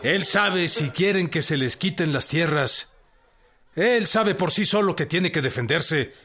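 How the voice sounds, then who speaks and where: An actor playing an earnest, grave and determined peasant revolutionary general in a radio drama. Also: male voice, on the high side, expressive, a little slow, bright, forceful tone, full character voice. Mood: earnest conviction.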